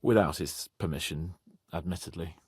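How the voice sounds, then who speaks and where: An actor playing a brash, petulant young medieval nobleman in a video game, with a husky voice and a pompous, sardonic manner. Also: sits low, moderate pace, no echo, clean studio sound. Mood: haughty, self-justifying. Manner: pompous, sardonic, swearing freely.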